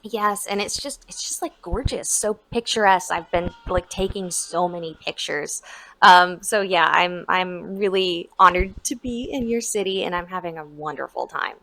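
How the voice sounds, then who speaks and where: Young woman, nasal, high voice, chatty, lots of ums, convention interview guest. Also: moderate pace, fairly bright tone, rough recording. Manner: chatty, many fillers. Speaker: voice actor guest.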